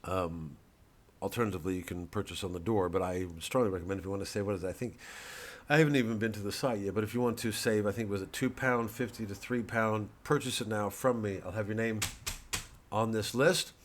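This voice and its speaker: A middle-aged man, a comedian, talking casually and digressively about his work with a halting, wry delivery. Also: on the low side, steady tone. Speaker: comedian promoting his own shows and albums. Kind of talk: rambling casual talk to camera.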